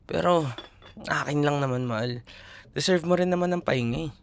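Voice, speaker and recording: A man's voice: a little high, a little fast, creaky, slight background noise. A young man with a Filipino accent playing a caring but exasperated college boyfriend in an intimate audio roleplay, talking casually and earnestly in mixed Tagalog and English. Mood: concerned, coaxing. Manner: casual Taglish, coaxing and earnest.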